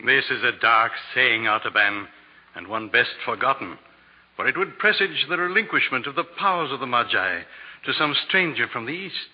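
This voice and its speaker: A man plays a scornful, wary old-world priest in a vintage radio play. His mid-pitched voice is grave and declamatory, ringing with long reverb on a dull recording. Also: lively intonation, moderate pace, lightly acted. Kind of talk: 1940s radio acting.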